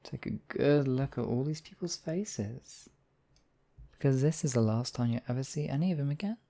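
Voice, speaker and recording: A man playing a demon king in an intimate ASMR roleplay, husky and theatrical, with deliberate phrasing and lingering pauses. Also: mid-range voice, mellow, natural voice. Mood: menacing, possessive. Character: demon king, menacing captor act turning tender, devoted lover.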